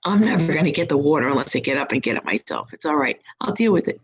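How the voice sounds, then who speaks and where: A hoarse, husky middle-aged woman hosting a live stream as a psychic reader, chatting in a rambling, upbeat way from her home. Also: low-pitched, expressive, quick pace. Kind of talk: casual live-chat monologue.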